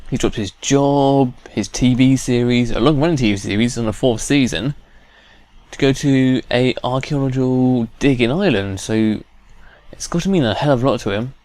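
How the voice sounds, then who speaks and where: A man's voice: mid-range voice, moderate pace, darkish tone, no room sound. A man giving informal, unscripted let's play commentary, thinking aloud as he goes. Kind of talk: casual unscripted game commentary. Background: game music.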